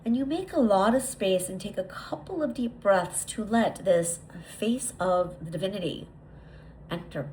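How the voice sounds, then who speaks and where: A woman leading a guided visualization, speaking to the listener in a deliberate, repetitive way with lingering pauses. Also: medium pitch, animated, darkish tone, slight background noise, low-quality recording. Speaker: guided meditation leader.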